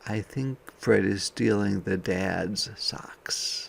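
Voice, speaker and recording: A man reading a picture book aloud to young children as a teacher, expressive, with softer conversational asides. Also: on the low side, slow pace, gravelly.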